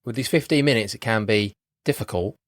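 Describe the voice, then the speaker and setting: A man's voice: lowish voice, fairly quick, no echo, clean studio sound. A man co-hosting a podcast in casual banter, grumbling and rambling as he talks. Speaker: podcast co-host. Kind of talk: casual film chat with banter.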